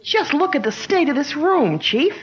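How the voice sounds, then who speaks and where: An eager young assistant with a fairly high voice, played by a woman. Her pitch swings widely from line to line. The sound is dull and lo-fi, with faint hiss underneath. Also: sing-song, moderate pace. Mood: excited. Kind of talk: 1940s radio drama acting. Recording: dull lo-fi old radio recording.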